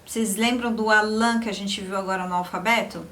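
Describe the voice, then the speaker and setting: A woman from Brazil teaching French pronunciation, repeating example words slowly and clearly. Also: mid-range voice, steady tone, a bit bright, slight background noise. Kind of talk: slow, careful pronunciation lesson.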